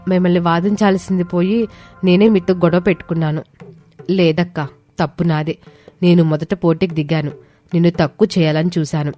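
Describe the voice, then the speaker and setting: A woman's voice: lowish voice, fairly even intonation, fairly quick, mellow, lightly acted. A woman voicing a spirited young village daughter-in-law in a moral story, earnest and emphatic. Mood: remorseful. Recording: music behind the voice.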